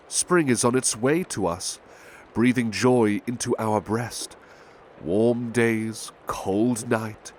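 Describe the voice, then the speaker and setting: A man playing a big-hearted butler, reading poems in a measured, gentle, formal ASMR style. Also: fairly low pitch. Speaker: ASMR roleplay performer. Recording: faint ambient background noise.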